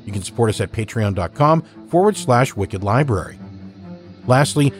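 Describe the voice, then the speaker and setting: Male podcast host, mid-pitched, faint music. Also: darkish tone. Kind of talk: scripted podcast introduction. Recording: faint music bed behind.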